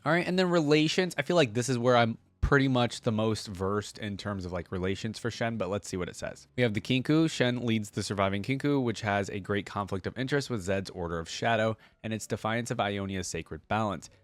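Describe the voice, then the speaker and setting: Low-voiced man, dry close sound. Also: no room sound. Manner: conversational, thinking aloud to viewers. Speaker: reaction video host. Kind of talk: casual commentary mixed with reading wiki text aloud.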